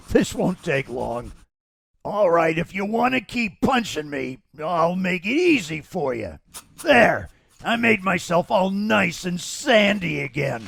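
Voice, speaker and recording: A man voicing a cocky, thuggish supervillain in a comic dub, gravelly and taunting in slangy menace. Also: high-pitched, very animated, takes it slowly, a bit bright, dry recording, faint music behind, heavily acted. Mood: mocking. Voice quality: gravelly, raspy. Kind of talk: comic book dub voice acting.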